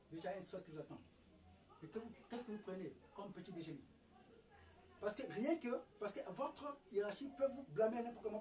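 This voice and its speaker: A high-pitched man delivers an emphatic lecture, firing rhetorical questions and stumbling over restarts. He sounds set back from the microphone, a bit distant. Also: a little mellow. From Republic of the Congo. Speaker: herbal remedy promoter. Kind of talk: lecturing monologue.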